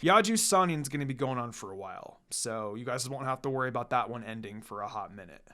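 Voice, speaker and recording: An expressive reader and channel host narrating anime fan fiction in a casual, storytelling style. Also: mid-range voice, fast. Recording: background music.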